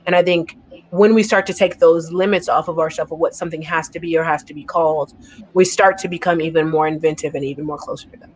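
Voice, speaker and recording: A woman poet on a discussion panel, talking in an energetic, emphatic way and often checking in with "right?". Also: low-pitched, quick pace, a little hiss or hum, poor audio.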